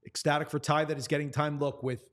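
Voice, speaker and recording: A man's voice: fairly high pitch, flat delivery, fast, fairly bright tone. A man who works as a play-by-play announcer, talking earnestly and supportively about players in a sports conversation.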